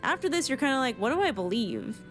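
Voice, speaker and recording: A woman's voice: high voice, big pitch swings, fast, fairly bright tone. Woman streamer chatting over faint game music. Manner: emotive, informal, sweary, rambling. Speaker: streamer reacting to game cutscenes. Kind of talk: casual live commentary.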